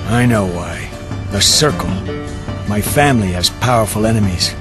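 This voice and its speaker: Resolute game hero commander played by a man, gruff, authoritative, lively pitch movement, music bed. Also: normal speed, natural voice. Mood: grim resolve. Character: resolute young mercenary commander, bold and gruff. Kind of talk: scripted game cutscene acting.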